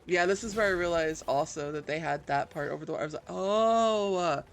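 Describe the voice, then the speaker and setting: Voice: medium pitch, a little fast, gravelly, slight background noise. A game streamer giving casual, gushing and self-deprecating commentary while playing, with game music behind the voice.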